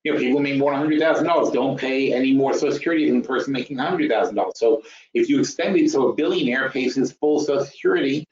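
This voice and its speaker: A man's voice: mid-range voice, fast talker, a little mellow. A man of fifty-five giving an informal, explanatory financial lecture as a webinar host, blunt and emphatic, thinking aloud, repeating phrases and asking rhetorical questions. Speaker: webinar host and trading educator. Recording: roomy, dull lo-fi webinar audio.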